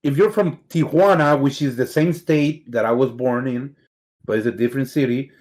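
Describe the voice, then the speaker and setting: A man's voice: medium pitch, normal speed, a little mellow. A middle-aged man from Mexico with a Mexican accent, guesting on a podcast and telling stories casually, swearing freely and laughing easily. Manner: laid-back, swears constantly, laughs easily. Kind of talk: casual storytelling interview.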